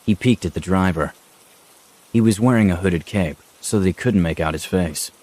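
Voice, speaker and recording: A man's voice: low-pitched, normal speed, a little mellow. A man reading a scripted horror story as its narrator.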